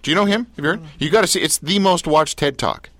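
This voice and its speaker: A man hosting a radio interview, asking quick questions and teasing his guest with jokes. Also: a little high, fairly bright tone. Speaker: radio show host. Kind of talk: casual interview banter.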